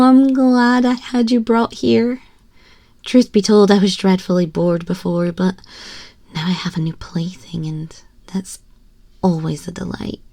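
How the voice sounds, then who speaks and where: A woman's voice: mid-range voice, mellow, dry recording, clean studio sound. A woman voicing a haughty, teasing, domineering young empress in an ASMR roleplay, condescending and often dropping toward a whisper. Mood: smug, condescending. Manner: condescending, slow, breathy purring with whispers. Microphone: close binaural microphone.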